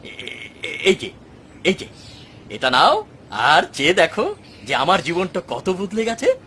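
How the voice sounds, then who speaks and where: Arrogant rich man, strikingly high-pitched. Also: huge pitch swings, measured pace, fairly bright tone, slight background noise, full character voice. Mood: boastful, mocking. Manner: theatrical, swinging from mocking to wailing. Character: arrogant, boastful rich man who owns two orchards. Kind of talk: animated moral story dialogue.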